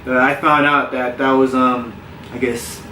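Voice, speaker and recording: A man who grew up in South Florida, a personal trainer telling his story in a relaxed, candid way with frequent restarts. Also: mid-range voice, a little slow, a little hiss or hum. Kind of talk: casual podcast interview. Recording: roomy, distant mic with faint ambience.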